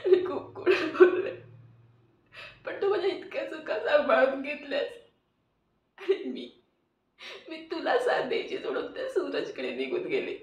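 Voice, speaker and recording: A woman acting an emotional audition monologue as a remorseful young woman, tearful and halting as she pleads for forgiveness. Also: high voice, animated. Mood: guilty, distraught. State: crying. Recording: roomy, muffled, distant mic.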